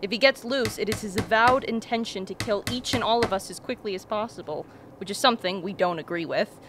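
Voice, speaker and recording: A woman playing a calm, thoughtful, dry expedition member in a radio play, speaking in a measured way. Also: mid-range voice, lightly acted. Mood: resigned, dry. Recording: faint background noise.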